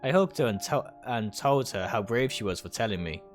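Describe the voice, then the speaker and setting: A man's voice: mid-range voice, animated, a little fast, soft background music. Man dramatically reading out a visual novel. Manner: stumbles over words and rereads them. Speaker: streamer reading a game aloud. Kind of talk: dramatic read-aloud of visual novel text.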